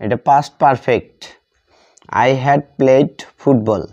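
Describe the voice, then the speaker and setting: Male teacher, Bengali accent, very slow step-by-step dictation, dry close sound, dull lo-fi recording. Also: medium pitch, darkish tone, dry recording. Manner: deliberate, dictating word by word with repetition. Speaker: English grammar teacher. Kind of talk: instructional lesson, bilingual grammar explanation.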